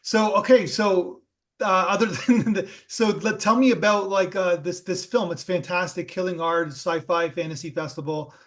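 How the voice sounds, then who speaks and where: A man hosting a film podcast, asking rambling, halting questions full of fillers. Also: high voice, steady tone, a bit bright. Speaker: podcast host. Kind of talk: casual interview.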